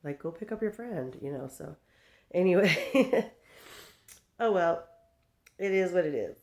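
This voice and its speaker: Low-voiced chatty woman in her fifties. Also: lively intonation, measured pace, a little mellow. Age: 50s. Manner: chatty, laughs often, drifts between stories, baby-talks to her dog. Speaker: vlogger. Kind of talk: rambling casual chat to camera.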